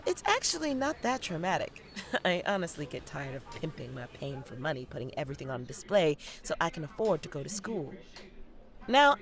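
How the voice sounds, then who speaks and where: A woman's voice: mid-range voice, close to an everyday voice. A young woman with an American accent acting a savvy theater student in an audio play, sardonic and theatrical as she talks to the audience. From Detroit, United States. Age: young adult. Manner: theatrical, sardonic, swinging between confiding and performing. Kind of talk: dramatic monologue to the audience.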